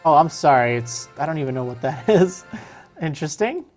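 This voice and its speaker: A woman's voice: low voice, lively intonation, fairly quick, darkish tone, soft background music. A woman streaming a video game in casual chat, answering viewers as she plays, her voice strained and tiring. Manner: chatty, answers viewer chat while playing.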